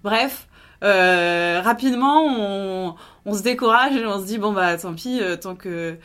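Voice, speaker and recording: A woman's voice: mid-range voice, a little slow, a bit bright. A woman guest chatting casually with many fillers, in an easygoing, shrugging tone. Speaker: interview guest. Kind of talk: casual chat.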